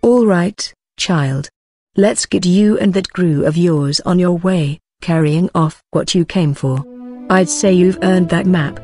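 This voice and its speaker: A woman's voice: on the low side, slow pace, a little mellow, no echo, full character voice. A woman voicing a knowing, unruffled guardian of a pirate cove in a motion comic, with an admonishing manner. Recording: music clearly under the voice.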